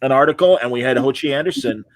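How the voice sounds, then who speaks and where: A man's voice: mid-range voice, fast, no room sound, low-quality recording. A middle-aged man hosting a casual interview, chatting in an informal, enthusiastic way. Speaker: podcast host and interviewer.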